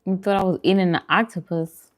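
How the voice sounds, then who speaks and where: A woman chatting casually and informally as she hosts a food video, answering viewers in an unhurried way. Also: on the low side, steady tone. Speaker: host of a vegan food channel, eating on camera. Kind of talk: casual chat while eating, mukbang livestream.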